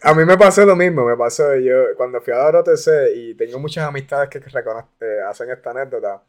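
A man's voice: medium pitch, fairly quick. A man from hosting an interview, explaining points for listeners and laughing easily. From Puerto Rico. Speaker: podcast host. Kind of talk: casual interview.

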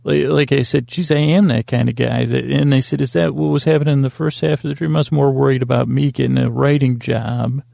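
Man, heavy vocal fry, meandering bedtime podcast host, self-deprecating, close dry sound. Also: medium pitch, little pitch movement, brisk, few pauses, dark tone, dry recording. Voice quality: gravelly, creaky. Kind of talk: rambling bedtime monologue to help listeners sleep.

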